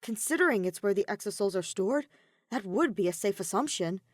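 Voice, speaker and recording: A woman's voice: mid-range voice, lively intonation, fairly quick, dry recording, clean studio sound, close to an everyday voice. A woman voicing a polite, earnest male robot in a story, with formal, precise wording. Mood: serious.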